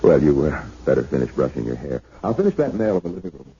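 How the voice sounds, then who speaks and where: An actor playing an affectionate, easygoing newlywed husband, soothing and flirtatious, in a 1970s radio drama. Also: male voice, a bass voice, big pitch swings, fast talker, warm tone, a little hiss or hum, heavily acted. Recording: dull 1970s radio broadcast.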